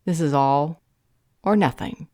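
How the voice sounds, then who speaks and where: A woman's voice: deep voice, slow pace, dark tone, no echo. Woman acting a guarded, firm woman, close and dry. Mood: resolute. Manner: firm, terse, serious. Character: guarded, resolute blonde woman, serious and cool. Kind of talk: audio roleplay voice acting.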